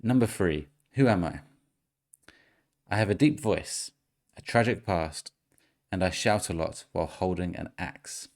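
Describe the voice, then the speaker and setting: A man's voice: low voice, a little slow, gravelly, pauses often, a little mellow. A man hosting a casual gaming podcast, running a quiz and reading clues aloud with easy chuckles. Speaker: podcast host.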